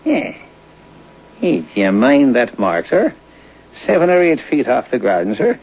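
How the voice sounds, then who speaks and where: A man's voice: mid-range voice, big pitch swings, measured pace, breathy edge, heavily acted. An actor playing a morbid, garrulous old Irish local man of seventy-nine in an old radio drama, cackling, folksy and deferential. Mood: cackling, teasing. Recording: dull lo-fi old radio recording.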